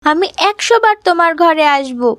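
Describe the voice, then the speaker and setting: A woman's voice: extremely high pitch, expressive, a little fast, fairly bright tone, no echo, clean studio sound. A woman voicing a devoted little sister, a young girl with a tender, pleading manner, in a children's cartoon. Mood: tender, earnest. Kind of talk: children's moral cartoon voice acting.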